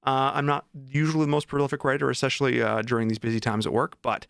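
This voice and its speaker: A man's voice: medium pitch, flat delivery, fast, creaky, smooth, no room sound, clean studio sound. A man chatting casually as a vlog host, informal and enthusiastic about his writing. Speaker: vlog host and indie author.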